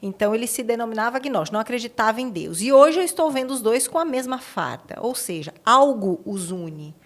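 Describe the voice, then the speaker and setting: A woman's voice: on the high side, expressive, a little fast. A woman with a Brazilian accent hosting a conversational interview, posing reflective questions with emphasis. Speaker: podcast host, interviewer.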